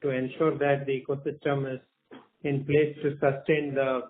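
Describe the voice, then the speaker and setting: A man's voice: mid-range voice, flat delivery, normal speed. A man speaking as a bank executive, adding explanatory remarks on an earnings call. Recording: noisy narrow phone line.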